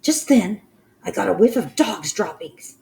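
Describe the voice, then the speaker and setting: A woman voicing a shrill female witch who sniffs out a scent and shrieks in alarm. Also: expressive, a little slow, gravelly, warm tone. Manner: shrieking, high and frantic.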